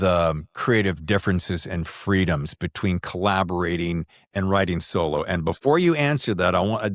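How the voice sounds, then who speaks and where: A man's voice: on the low side, no echo, studio quality. A man hosting a conversational interview podcast, asking rambling, circling questions. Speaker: podcast host.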